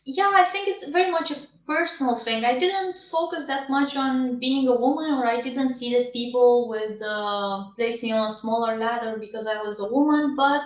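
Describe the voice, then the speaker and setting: Female guest, Romanian-born, candid, a bit high-pitched, heard from far off on a call. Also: normal speed, hardly any pauses. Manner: laughs often, candid and self-deprecating. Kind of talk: casual interview answers. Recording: distant, roomy, dull video call audio.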